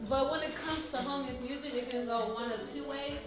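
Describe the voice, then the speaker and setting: A woman telling a story in an animated, slangy way. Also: sits high, a little fast. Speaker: guest artist. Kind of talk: casual storytelling. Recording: echoey room with music.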